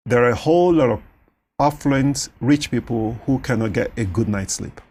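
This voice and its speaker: A man with a Nigerian accent whose first language is Yoruba, talking as a doctor in a studio interview, animated and quick to laugh. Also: medium pitch. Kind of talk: conversational interview.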